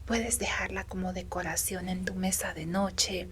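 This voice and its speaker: A tender female woodland creature, acted by a woman, whispers slowly and breathily in an ASMR roleplay. She is soothing and reassuring toward her human listener, with faint noise behind the close whisper. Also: fairly low pitch, flat delivery, fairly bright tone. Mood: softly showing gifts. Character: gentle female woodland fauna being comforting a human.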